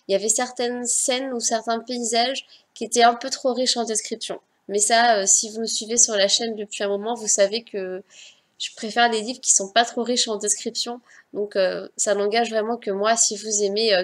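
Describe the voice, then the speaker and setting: A woman chatting directly to her viewers as she reviews a book on her channel, correcting herself as she goes. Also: mid-range voice. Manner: self-correcting, scattered. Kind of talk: casual book review vlog.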